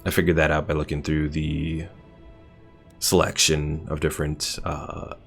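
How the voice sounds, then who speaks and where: Low, creaky-voiced man streaming a game. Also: little pitch movement, a little slow, a bit bright. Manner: rambling, thinking aloud. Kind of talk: casual gameplay commentary while chatting with viewers. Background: game music.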